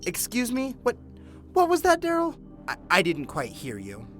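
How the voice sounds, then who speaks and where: An actor playing a sarcastic detective who taunts a suspect in a mocking, drawn-out way. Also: male voice, very high voice, wildly swooping pitch, a bit bright, faint music behind, heavily acted. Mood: needling.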